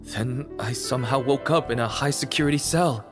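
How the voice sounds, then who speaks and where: A man's voice: mid-range voice, fairly quick, music in the background, lightly acted. A man voicing a hesitant, unsure prison inmate in a video game. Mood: confused.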